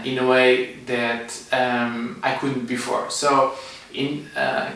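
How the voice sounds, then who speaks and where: A middle-aged man from Portugal with a Portuguese accent, giving an informal online class in halting, non-native English as an energy medicine teacher. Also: mid-range voice, fairly bright tone. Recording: echoey room, distant microphone.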